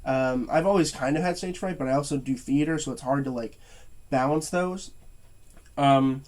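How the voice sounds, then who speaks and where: A man's voice: mid-range voice, a little mellow. A young man reviewing movies in a casual rant, tired and stuffy-nosed, stuttering and restarting his sentences. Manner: stuttering, halting, many fillers and restarts.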